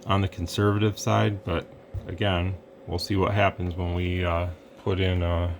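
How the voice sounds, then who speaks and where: A man with a deep, level voice talks through a radio demo in an easygoing, rambling way, pausing with fillers as he works. He sounds low and steady, with faint noise behind him. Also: dull audio. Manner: relaxed, rambling, thinks aloud with fillers. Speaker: radio equipment reviewer. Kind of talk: casual hands-on demo narration.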